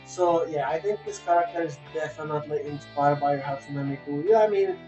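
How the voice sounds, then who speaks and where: A man, an English speaker, giving a casual, unscripted game review in a halting, repetitive way as he searches for words. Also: fairly high pitch, muffled recording. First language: a language other than English. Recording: roomy echo, music playing behind. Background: soft guitar game music.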